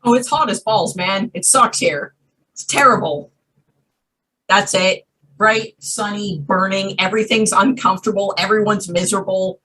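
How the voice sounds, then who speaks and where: A woman's voice: mid-range voice, normal speed, sharp tone, husky, rough recording. A woman chatting casually as a show guest in a blunt, sardonic way, recorded away from the mic. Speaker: weather show guest correspondent. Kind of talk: casual comedic chat.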